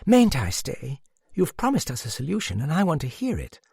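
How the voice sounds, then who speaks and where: A man puts on a slight young woman in a mystery audiobook. The voice is little and raised in pitch, willful and insistent, with a quick delivery. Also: wildly swooping pitch, vocal fry, darkish tone, breathy edge, no room sound, clean studio sound, heavily acted. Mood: coaxing.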